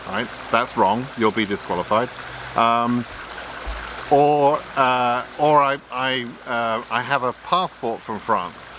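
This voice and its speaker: A man's voice: medium pitch, takes it slowly, fairly bright tone, a little hiss or hum, poor audio. A man giving blunt, frank advice in an unscripted explainer, lecturing with frequent ums and restarts. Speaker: immigration advice vlogger.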